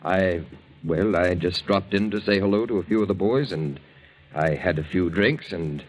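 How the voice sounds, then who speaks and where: An actor playing an evasive, idle gambler husband, hesitant and defensive, in a 1940s radio drama. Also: male voice, low-pitched, moderate pace, a little mellow. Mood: sheepish pleading. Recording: old 1940s radio broadcast.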